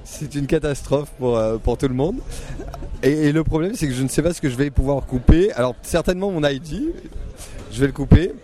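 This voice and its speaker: A man from Marseille without a regional accent hosts a podcast interview outdoors. His voice is soft and mellow, and he hesitates, restarting phrases with frequent fillers. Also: on the high side, a little fast, soft background music. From Marseille, France. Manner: hesitant, many fillers and restarts, warm laugh. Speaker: podcast host. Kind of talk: casual street interview.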